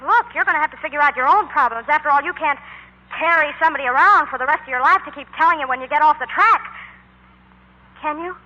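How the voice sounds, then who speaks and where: A woman's voice: high voice, quick pace, natural voice. An actress playing a frank, practical teenage girl in 1940s radio style. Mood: firm, scolding. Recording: muffled 1940s radio recording, music behind.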